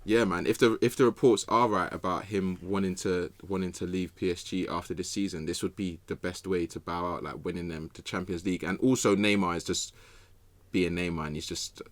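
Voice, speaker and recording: Man, young, low pitch, dry recording, informal repetitive phrasing, football chat. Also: steady tone, brisk, no echo. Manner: informal, slangy, repeats phrases. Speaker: podcast panellist.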